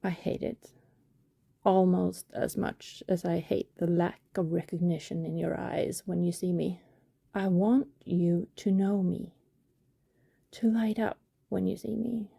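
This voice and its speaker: A woman playing an affectionate, guilt-ridden vampire neighbor in an intimate ASMR roleplay, speaking in a soft, hesitant, confiding whisper. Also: lowish voice, fairly even intonation, unhurried, vocal fry, slightly rough, no room sound, clean studio sound, heavily acted. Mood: pained longing.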